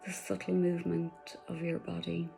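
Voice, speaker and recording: A woman leading a guided meditation in a soft, soothing voice, slipping at times into breathy whispers. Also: lowish voice, completely flat delivery, normal speed, soft background music.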